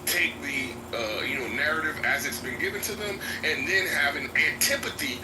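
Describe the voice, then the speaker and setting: A man with a slightly raised pitch arguing in a voice-chat debate. He talks haltingly, repeats words and keeps seeking agreement. He sounds far from the mic in an echoey space, with steady noise behind him. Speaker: debate participant. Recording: echoey, distant, noisy playback.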